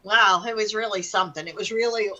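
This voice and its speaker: A middle-aged woman chatting casually and telling personal stories in an animated, colloquial, exclamatory way. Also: medium pitch, quick pace, highs cut off. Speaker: talk show participant.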